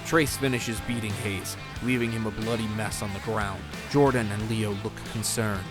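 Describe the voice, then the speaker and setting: A man's voice: lowish voice, fairly even intonation, normal speed, music in the background. A man narrating an audio drama in scripted, present-tense prose.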